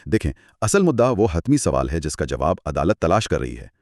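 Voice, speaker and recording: A man's voice: a bass voice, big pitch swings, a little fast, a little mellow, no room sound, clean studio sound. A man co-hosting an educational discussion, explaining things conversationally and with emphasis. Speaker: co-host of an educational law discussion. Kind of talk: conversational explainer, podcast-style dialogue.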